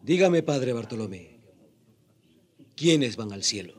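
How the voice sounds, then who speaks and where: An actor in a radio drama playing a proud, defiant young Indian rebel leader, speaking in a solemn, declamatory way. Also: male voice, mid-range voice, big pitch swings, slow pace, darkish tone, husky, exaggerated voice. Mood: bitterly defiant.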